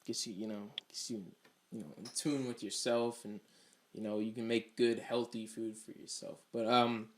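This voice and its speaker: A man's voice: on the low side, little pitch movement, moderate pace. Male podcast host, loose and talkative, easygoing profane chatter. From Delaware. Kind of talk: casual podcast interview.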